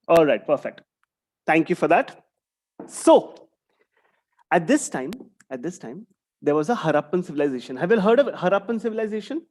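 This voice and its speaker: A man's voice: on the high side, big pitch swings, normal speed, pauses often, a little mellow. A young man, giving an online talk to young schoolchildren over a video call and ending many sentences with "right?". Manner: tags sentences with a questioning "right?". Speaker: guest speaker for schoolchildren.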